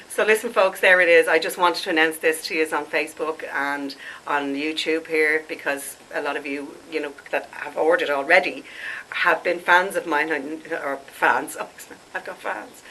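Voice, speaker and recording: A woman's voice: sits low, normal speed, bright, forceful tone, slight background noise, rough recording. A woman in her late forties, congested and stuffed up, chatting and rambling to camera as a skincare vlogger and laughing at herself. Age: late 40s. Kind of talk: casual chat to camera.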